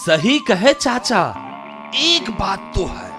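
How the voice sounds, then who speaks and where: A man's voice: very high-pitched, very animated, slow, music in the background, exaggerated voice. An actor playing a chatty, admiring young village man in a radio drama, talking in a respectful, familiar way. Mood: amused, teasing. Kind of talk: Hindi radio drama acting.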